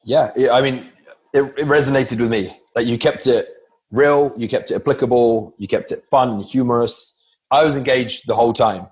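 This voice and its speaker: Male podcast host, mid pitch, casual, rambling delivery. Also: normal speed. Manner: relaxed, rambling, many ums.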